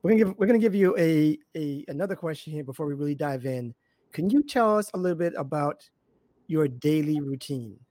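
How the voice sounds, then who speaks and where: A man hosting an interview, halting and repeating words as he asks questions, laughing along with his guest. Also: a little high, expressive, dark tone, dry recording. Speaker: podcast host.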